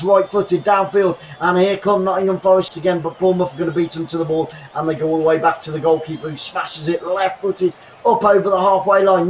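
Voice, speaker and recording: A man hosting a live football watchalong from his bedroom, commentating the match and thanking viewers, getting excitable and shouting when chances come. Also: high-pitched, muffled recording, slight background noise. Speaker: watchalong host. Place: home bedroom.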